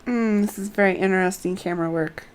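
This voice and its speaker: A woman streaming a game, reading its story aloud deliberately and muttering asides as she goes. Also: medium pitch, a little hiss or hum.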